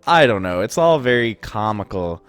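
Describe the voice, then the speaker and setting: A man's voice: lowish voice, very animated, normal speed, smooth, dry recording, faint music behind. Amused young man narrating game clips. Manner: wry, amused, breaks into laughs at absurd bits. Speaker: gaming video host. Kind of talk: casual video commentary.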